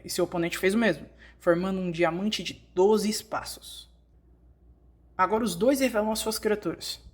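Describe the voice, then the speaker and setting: Female tutorial narrator, Brazilian Portuguese accent, mid-range pitch. Scripted, explanatory read addressed straight to the learner. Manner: explanatory, addresses the listener directly. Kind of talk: scripted instructional narration, fan dub.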